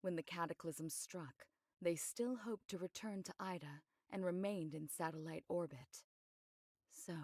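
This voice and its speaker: A voice actress playing a composed, discreet female investigator in an anime game, measured and matter-of-fact as she explains. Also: fairly low pitch, creaky, a little mellow, breathy edge, no room sound, studio quality, full character voice. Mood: measured, explanatory. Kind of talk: scripted anime game voice acting.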